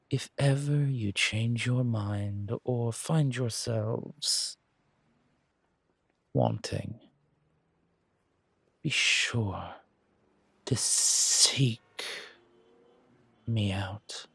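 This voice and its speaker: Guy doing a smooth flirty gentleman stranger for an audio rp, husky n low, slow teasing formal talk. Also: no echo, exaggerated voice. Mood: courtly, inviting. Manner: breathy, drawling, flirtatious, formal wording. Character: smooth, seductive, well-dressed male stranger, courtly and teasing. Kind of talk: romantic roleplay audio drama.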